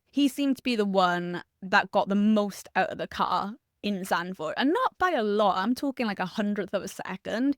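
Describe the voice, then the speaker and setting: A woman talks through a race weekend in a candid, chatty way, with a slight croak from a lingering cough. Her voice sits in a middle range. The sound is close and dry, with no room around it. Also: mid-range voice, no echo. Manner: chatty, candid, with frequent laughs. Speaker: motorsport channel host. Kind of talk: solo race review commentary.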